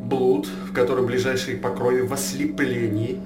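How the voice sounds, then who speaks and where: An actor playing a cautious, legalistic royal chancellor in verse radio drama, declaiming formally and deliberately. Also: male voice, mid-range voice, flat delivery, over loud music, close to an everyday voice. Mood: gravely reasoning. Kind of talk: classical verse stage drama. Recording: roomy, distant radio-play recording.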